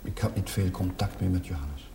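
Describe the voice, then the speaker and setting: A troubled young-church cleric, a curate in a seventies TV drama. His voice is low and mellow. He talks with subdued hesitation in an echoing room. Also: normal speed, creaky. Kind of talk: naturalistic television drama acting. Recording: echoey, distant, faintly noisy 1970s television soundtrack.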